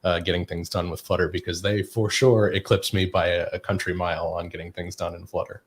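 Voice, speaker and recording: A man's voice: sits low, little pitch movement, fairly quick. A man, a developer advocate, chatting casually on a livestream in a self-deprecating, chatty way.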